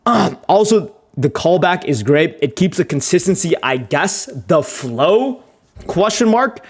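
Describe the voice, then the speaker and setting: A man's voice: very animated, a little slow, slightly rough. A young man chatting casually on a livestream while he edits, rambling, joking at his own expense and swearing now and then. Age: young adult. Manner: rambling, self-mocking, swears casually, laughs often; joking, gets louder and higher when telling stories. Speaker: video creator live-streaming his editing.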